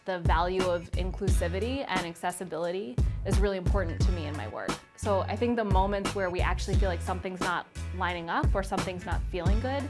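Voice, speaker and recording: A woman being interviewed, speaking in a thoughtful, measured way. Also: on the low side. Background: music bed.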